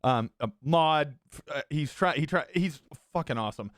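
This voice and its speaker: A young adult man hosting a podcast, talking in a halting, filler-heavy way and laughing often. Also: fairly high pitch, animated, dry recording, studio quality. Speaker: podcast host. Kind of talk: casual podcast chat.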